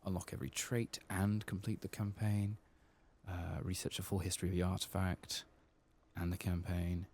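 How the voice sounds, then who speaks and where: Very deep, creaky soft-spoken man. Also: little pitch movement, moderate pace. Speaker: gameplay streamer. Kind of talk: soft-spoken ASMR game commentary.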